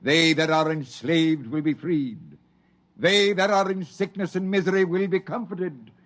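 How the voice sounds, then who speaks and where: Slow, oracular old desert preacher. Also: male voice, a little high, fairly bright tone. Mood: gentle, prophetic. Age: older. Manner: slow, oracular, biblical pronouncements. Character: gentle, fervent old wandering preacher, wild-haired eccentric. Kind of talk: mid-century American radio drama acting.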